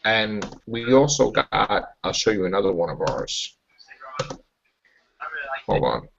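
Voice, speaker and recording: Animated, pushy male host. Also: fairly low pitch, takes it slowly, gravelly, a crowd in the background. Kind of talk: casual web show chat. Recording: muffled lo-fi recording.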